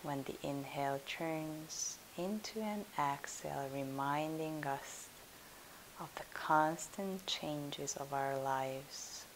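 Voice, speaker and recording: Woman yoga teacher talking u thru a meditation, low soothing hushed voice, steady hiss on the recording. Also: low-quality recording. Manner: soothing, hushed, unhurried instruction. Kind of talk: guided meditation.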